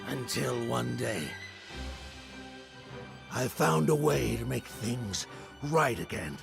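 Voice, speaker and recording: Enio, an aged, brooding troupe leader. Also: male voice, medium pitch, lively intonation, creaky, heavily acted. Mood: mournful. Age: older. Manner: slow, brooding and solemn. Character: aged, grieving male troupe leader, guilt-driven. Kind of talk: game cutscene voice acting. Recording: music score behind the voice.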